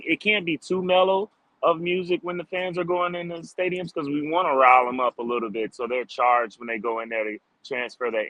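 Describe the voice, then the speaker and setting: A man's voice: medium pitch, a bit bright, no room sound, low-quality recording. A man answering interview questions casually as a street musician, upbeat and informal, often dropping in "like" and "man". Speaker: street drummer guest.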